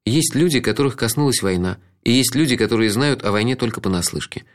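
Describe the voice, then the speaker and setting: Lively male audiobook narrator. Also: mid-range voice, quick pace. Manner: reflective, ironic storytelling. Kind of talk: first-person literary narration.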